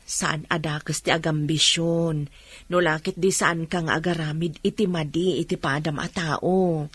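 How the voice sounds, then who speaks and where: A husky middle-aged mother from a radio drama, played by a woman. She speaks fairly low, with concern and gentle reproach, in a dry recording. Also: fairly even intonation, unhurried, no echo, exaggerated voice. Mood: concerned, chiding.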